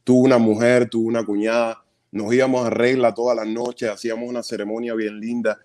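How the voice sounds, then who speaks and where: A Cuban stage actor in his thirties, a guest in an interview, telling stories in an earnest, animated way with quick bursts of speech. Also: mid-range voice. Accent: Cuban Spanish. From Cuba. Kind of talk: casual interview storytelling.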